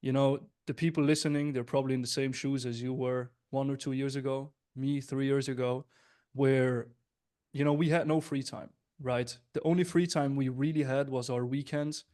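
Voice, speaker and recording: Man, twenties, from switzerland, average pitch, upbeat friendly host, direct to audience. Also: fairly even intonation, normal speed, darkish tone. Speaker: host, business coach. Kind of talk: casual interview hosting.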